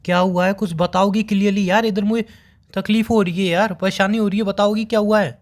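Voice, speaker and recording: A man's voice: sits high, fairly even intonation, fairly quick, lightly acted. A young man with an Indian accent acting as a worried, devoted boyfriend in a phone-call drama, pleading and questioning insistently.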